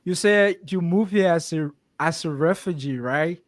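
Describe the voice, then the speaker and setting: A man's voice: a little high, moderate pace, no room sound, studio quality. A man from Africa hosting a casual interview, affirming and repeating words for emphasis. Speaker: interview host.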